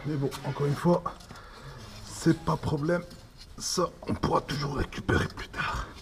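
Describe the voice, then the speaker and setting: A man's voice: a little high, steady tone, a little slow, slight background noise. A man narrating a casual how-to repair, easygoing and shrugging off setbacks, working in a workshop. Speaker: DIY mechanic.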